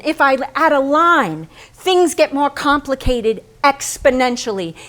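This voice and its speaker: A woman's voice: high voice, a bit bright. An actress playing an intense, blunt, strong-willed woman painter in a theatrical staged monologue, insistent and questioning. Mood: yearning, intense. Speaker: stage actor. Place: staged reading in a barn before an audience.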